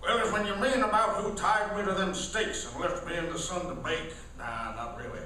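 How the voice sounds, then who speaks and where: A man's voice: high-pitched, normal speed, sharp tone. A man playing a folksy frontier loner with an Old West American drawl in a comic Western radio play. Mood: plainspoken, wry. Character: folksy frontier loner, a dry-mouthed drawling prairie cowboy. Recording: echoey hall, distant mic, faint noise.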